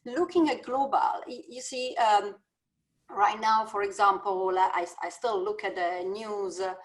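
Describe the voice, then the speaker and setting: Italian lady dean on a video call, lots of uhs, thinking out loud, mid pitch. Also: steady tone, measured pace, low-quality recording. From Italy. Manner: thoughtful, searching for words with many fillers. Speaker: business school dean. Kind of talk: panel discussion.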